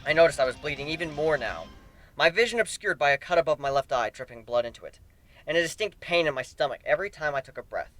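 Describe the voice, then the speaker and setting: An amateur male narrator reads a story in the first person with dramatic flair. His voice is fairly high and bright, with very lively pitch movement, in a dry recording. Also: fast talker, soft background music.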